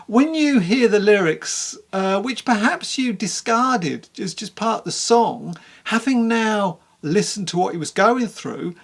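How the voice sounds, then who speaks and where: A person giving a casual spoken book review to camera in a candid, rambling, conversational way, with a creaky voice. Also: medium pitch.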